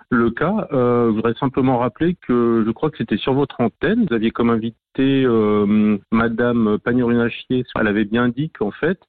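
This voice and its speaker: Halting male caller on a tinny phone line. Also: mid-range voice, dry recording. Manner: halting, careful. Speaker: caller leaving a voicemail. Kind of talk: recorded voicemail message.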